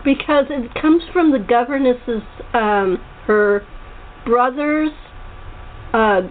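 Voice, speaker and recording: A woman hosting a casual chat interview, talking in a rambling, halting way with frequent restarts. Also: on the high side. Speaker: talk show host. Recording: tinny phone-line sound with faint noise.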